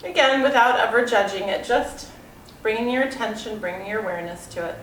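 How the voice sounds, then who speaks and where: A woman teaches yoga with calm, drawn-out guidance, her voice slightly nasal from congestion. She is picked up from a distance in an echoey room with a faint ambient hiss underneath. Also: fairly high pitch. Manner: calm, unhurried instruction with soft trailing phrases. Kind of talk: guided yoga class. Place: room with yoga mat, indoors. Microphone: far camera.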